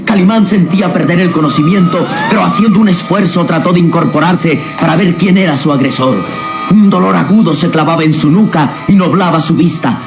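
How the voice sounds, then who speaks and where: A man narrating a scripted radio serial in a suspenseful, emphatic and deliberate dramatic style. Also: on the high side, a crowd in the background. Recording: radio drama with loud music behind.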